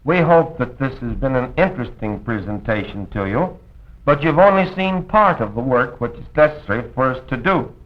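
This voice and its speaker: A man's voice: medium pitch, expressive. Dull old film-soundtrack American announcer man. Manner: formal, measured announcer's diction. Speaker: radio program host and narrator. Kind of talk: scripted promotional film narration, old-time radio delivery.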